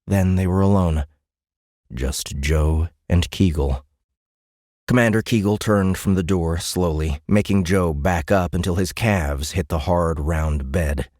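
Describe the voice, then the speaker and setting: A man's voice: deep voice, measured pace, darkish tone, dry recording, studio quality. A man reading scripted, dramatic science fiction narration as an audiobook narrator.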